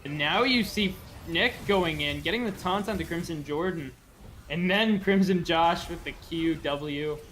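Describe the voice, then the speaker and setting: A man's voice: fairly high pitch, measured pace, a bit bright, a little hiss or hum. A man giving live play-by-play commentary on a video game match as an esports caster.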